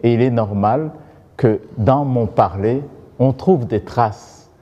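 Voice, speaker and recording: A man with a Kinyarwanda accent, a native Kinyarwanda speaker, explaining deliberately as a guest expert in a studio interview. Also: medium pitch, in a large hall, dull audio.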